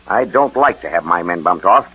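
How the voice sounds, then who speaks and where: Husky, stern American police chief. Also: male voice, sits low, animated, moderate pace. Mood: sardonic, stern. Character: stern, sardonic male police chief, demanding of his men. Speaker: radio actor. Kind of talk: 1940s radio crime acting. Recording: muffled old radio broadcast.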